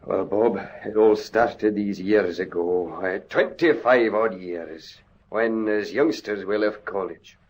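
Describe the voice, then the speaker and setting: An actor playing a proud, bitter and intense ex-convict in an old radio drama, with theatrical, impassioned delivery. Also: male voice, on the low side, takes it slowly. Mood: anguished. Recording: dull, lo-fi old radio recording.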